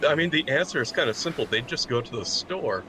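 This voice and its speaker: A man's voice: mid-range voice, expressive, brisk, pushed and bright, low-quality recording. A man in casual joking commentary, sardonic and blunt.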